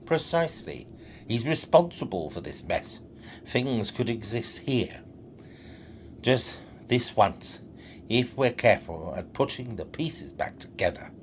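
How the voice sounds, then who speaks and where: An actor playing a secretive, self-assured professor from another reality in an audio drama, with formal, theatrical delivery. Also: male voice, mid-range voice, very animated, unhurried, a little hiss or hum. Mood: urgent, decisive. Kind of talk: scripted audio drama acting.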